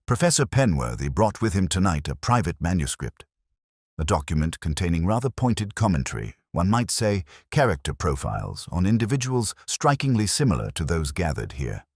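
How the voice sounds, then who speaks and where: A man voicing a shrewd, commanding Victorian detective with measured, formal speech in an audiobook story. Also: low-pitched, sing-song, slightly rough, no echo, studio quality, exaggerated voice. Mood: grave, assertive. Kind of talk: audiobook character dialogue.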